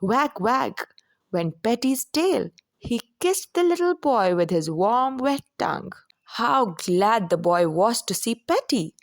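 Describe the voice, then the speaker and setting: A woman narrates a children's storybook in a high, animated voice. She takes her time, shaping each phrase expressively, and the sound is close and dry. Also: no echo. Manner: expressive, deliberate, simple storybook delivery. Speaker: storyteller reading children's books aloud.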